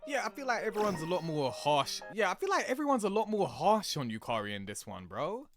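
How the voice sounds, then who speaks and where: A man's voice: high voice, sing-song, fairly quick. A young man giving casual, slangy reaction commentary on a video game. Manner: slangy, informal. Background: music.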